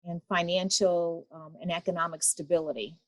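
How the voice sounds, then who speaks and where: A woman with a slightly low voice asks questions with attentive, affirming interest and gives frequent murmurs of agreement. The sound is dry and close. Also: steady tone, normal speed, no echo, low-quality recording. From United States. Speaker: association president, interviewer. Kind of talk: interview conversation.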